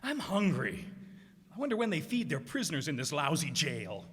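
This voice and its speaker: A man plays a grumbling, sharp-witted prisoner in a radio comedy. His high, bright voice jumps around in pitch, echoing in a hall. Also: wildly swooping pitch, moderate pace. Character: fast-witted, grumbling imprisoned radio broadcaster. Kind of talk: satirical radio play acting. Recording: echoey hall stage performance.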